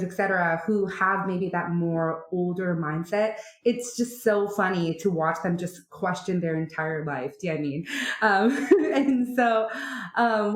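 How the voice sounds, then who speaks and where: A Canadian woman, an opera singer, chatting animatedly in a podcast interview, full of fillers and likes. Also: mid-range voice. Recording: roomy echo.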